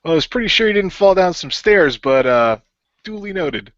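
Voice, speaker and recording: Playful, lively man in a radio play. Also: high voice, moderate pace, no room sound. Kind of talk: casual improvised role-play and narration. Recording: dry, dull lo-fi microphone.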